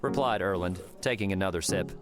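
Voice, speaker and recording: A man's voice: low voice, a little fast. A man reading scripted story narration as an audiobook narrator. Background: music.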